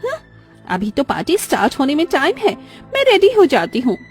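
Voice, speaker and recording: A woman's voice: high voice, wildly swooping pitch, fairly quick, soft background music, voice pushed up. A woman voicing a haughty, dramatic rich young daughter-in-law in a moral-story cartoon, exclaiming theatrically. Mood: smug.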